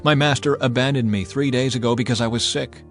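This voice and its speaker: A man's voice: mid-range voice, steady tone, a little fast, soft background music, close to an everyday voice. A man voicing a weary young slave. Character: weary young male slave, frank and subdued.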